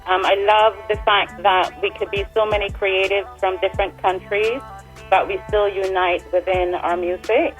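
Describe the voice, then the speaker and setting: A woman's voice: mid-range voice, steady tone, moderate pace, soft background music, poor audio. Guest singer, woman, 40s, Swedish, easygoing talker. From Sweden. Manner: warm, chatty, laughs easily. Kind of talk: casual radio interview.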